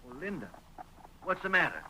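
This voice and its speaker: An actor playing a determined, earnest young cowboy with a folksy American accent in a 1930s radio western. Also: male voice, a little high, sing-song, brisk. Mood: tender, concerned. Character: determined young cowboy sweetheart sworn to vengeance. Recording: noisy, tinny 1930s radio recording.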